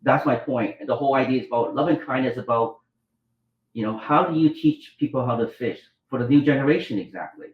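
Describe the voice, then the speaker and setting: A man's voice: medium pitch, fairly quick. Brief: middle-aged man from Vietnam, rambling anecdote teller, roomy echo, muffled sound. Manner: rambling, restarts, acts out quoted dialogue. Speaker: guest, doctor and author. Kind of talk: storytelling interview.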